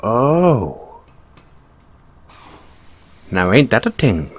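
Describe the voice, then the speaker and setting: A man voicing a polite, inquisitive Irish bunny-boy car mechanic in an audio roleplay, with an Irish accent and a gently probing, wryly understated, hesitant way of talking. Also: medium pitch, big pitch swings, drawn-out words, heavily acted. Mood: surprised, sympathetic.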